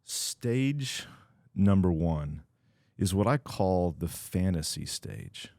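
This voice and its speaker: A man's voice: sits low, animated, takes it slowly, a little mellow, dry recording, studio quality. A man hosting a podcast, teasing his co-host and then laying out his ideas in long stretches of casual talk. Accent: American.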